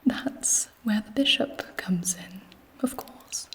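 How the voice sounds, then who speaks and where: A woman voicing a scheming, haughty vampire queen in a breathy, deliberate ASMR whisper. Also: mid-range voice, animated, fairly bright tone. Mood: cold, contemptuous. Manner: slow, deliberate, imperious. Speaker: ASMR performer.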